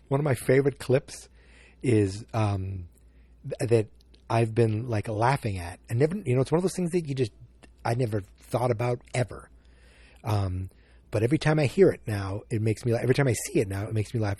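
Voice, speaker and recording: Boston guy rambling on his podcast, kinda husky, soft dark tone. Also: medium pitch, dry recording. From Massachusetts. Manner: rambling and self-interrupting, with frequent fillers. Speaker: podcast host. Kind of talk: solo podcast commentary.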